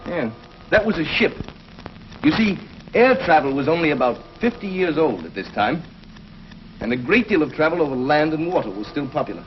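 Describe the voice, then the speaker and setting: An actor playing an earnest, learned scientist from the future in 1950s television science fiction, speaking in a deliberate, explanatory, professorial way. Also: male voice, on the high side, expressive, natural voice. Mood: explaining. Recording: noisy, dull 1950s television soundtrack.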